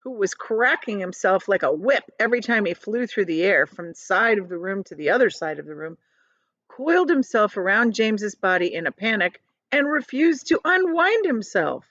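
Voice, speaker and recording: Animated woman reading a children's storybook aloud. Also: mid-range voice, moderate pace, no echo, dull audio. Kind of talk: expressive storybook narration with simple asides.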